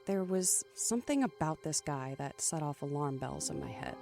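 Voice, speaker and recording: A woman's voice: sits low, expressive, normal speed, vocal fry. A woman playing a somber, anxious daughter over music. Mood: wary. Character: anxious, grieving adult daughter narrating her own thriller. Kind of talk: dramatic first-person audio drama narration.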